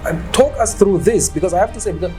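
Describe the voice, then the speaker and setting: A man hosting an interview who asks probing questions and restates them to make things clear. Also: high-pitched, fast talker, dark tone, soft background music. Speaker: interview host.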